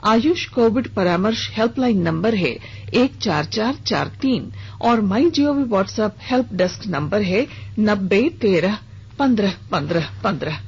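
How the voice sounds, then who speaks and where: A woman's voice: mid-range voice, a little mellow, husky. A woman reading a scripted regional radio news bulletin as the newsreader. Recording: dull lo-fi radio broadcast with hiss.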